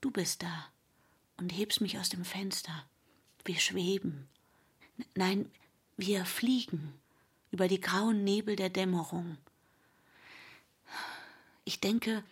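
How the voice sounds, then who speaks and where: Drowsy wife, breathy slow murmur. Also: female voice, medium pitch, heavily acted. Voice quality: breathy, whispery. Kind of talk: intimate radio drama acting.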